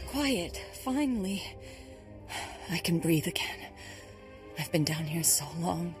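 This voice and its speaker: A man voicing a weary, wise Jedi master in a video game, measured and formal. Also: a little high, expressive. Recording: music playing behind.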